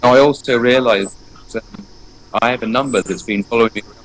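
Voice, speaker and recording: A man's voice: medium pitch, fast, low-quality recording. A man in his forties from England, talking earnestly in a loose, rambling way with many fillers and restarts during an interview. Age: 40s. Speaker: guest, energy painter. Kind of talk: casual spoken interview.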